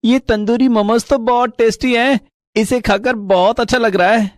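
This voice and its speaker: A woman's voice: fairly high pitch, fairly even intonation, normal speed, no room sound, clean studio sound, natural voice. A woman voicing an eager, food-loving little boy in a moral story, childlike and pleading.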